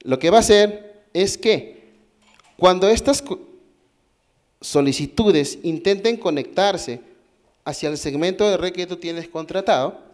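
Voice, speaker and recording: A man's voice: sits high, a little slow, pauses often, darkish tone. A man with a Peruvian accent giving a technical talk at a conference, explaining step by step, asking the audience questions and often restarting his sentences. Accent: Peruvian Spanish. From Peru. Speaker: conference speaker. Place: conference hall.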